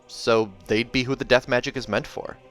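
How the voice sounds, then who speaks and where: A man's voice: medium pitch, steady tone, moderate pace, a bit bright, faint music behind, lightly acted. A man voicing an earnest, loyal young man in a fantasy storybook, plain-spoken and insistent.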